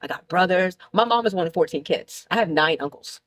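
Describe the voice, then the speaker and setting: A woman's voice: fairly low pitch, expressive, a little fast. A woman in her late thirties telling blunt, laughing stories as a guest in a candid casual talk show chat. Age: 30s. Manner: brash, laughing, blunt storytelling.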